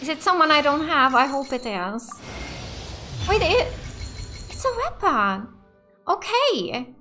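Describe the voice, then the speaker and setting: A woman giving casual, excitable live commentary as a gaming host, often repeating short exclamations. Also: high-pitched, slow. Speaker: gaming let's play host. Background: game music.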